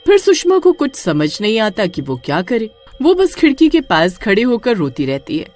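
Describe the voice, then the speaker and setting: A woman tells a horror story in a fairly high voice, her pitch rising and falling as she narrates. Faint music plays in the background. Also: normal speed. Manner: expressive storytelling.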